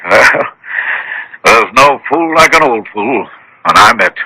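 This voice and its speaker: An actor playing a rich, gullible old man in a radio mystery, proper and rueful, with a husky, rough voice. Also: mid-range voice, expressive, takes it slowly, sharp tone, no room sound, heavily acted, poor audio. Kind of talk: radio mystery drama acting.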